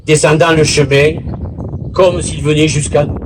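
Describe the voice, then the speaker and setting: A man giving a formal public speech as a local official, in a deliberate, theatrical oratorical manner with pauses and a husky voice. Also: fairly high pitch, flat delivery, noisy background. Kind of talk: formal public speech at a village festival, with literary recitation. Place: village festival in Bouisse, addressing a gathering.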